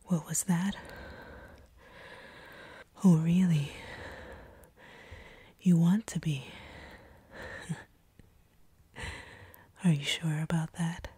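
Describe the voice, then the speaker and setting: A sadistic, seductive vampire played by a woman in an intimate audio roleplay. She whispers very breathily and unhurriedly, in a low register, teasing and commanding her captive. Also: steady tone, extremely slow, long pauses, dark tone, slightly rough, no echo, full character voice. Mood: possessive, tender.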